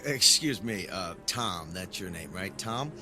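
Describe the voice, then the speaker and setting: Skeptical everyman, lowish voice, colloquial, incredulous, wisecracking, audio drama, music behind. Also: animated, measured pace.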